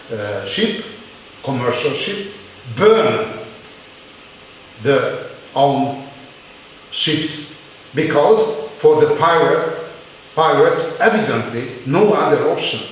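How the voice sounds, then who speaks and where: A man lecturing in halting English with a Hungarian accent, with many fillers and restarts. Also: medium pitch, slight background noise. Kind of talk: university lecture. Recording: very echoey hall, mic far off.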